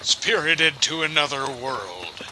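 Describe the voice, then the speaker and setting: A man playing a storyteller who recounts an old ghost legend in a theatrical, drawn-out audio drama narration. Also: mid-range voice, expressive, bright, forceful tone, slight background noise, exaggerated voice, low-quality recording. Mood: ominous, dramatic.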